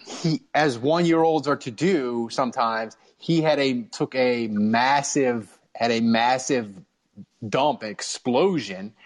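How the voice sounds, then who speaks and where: A man in his thirties or forties telling casual stories as a sports co-host, animatedly acting out the dialogue. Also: mid-range voice. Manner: animated storyteller, acts out quoted dialogue.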